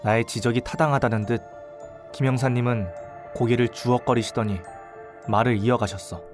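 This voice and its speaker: Observant young male sleuth voiced by an actor, audio drama, measured storytelling, mid-pitched, music clearly behind. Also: steady tone, natural voice. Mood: composed, analytical. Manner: measured, explanatory. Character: composed, observant young male investigator telling his case. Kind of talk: audio drama narration and dialogue.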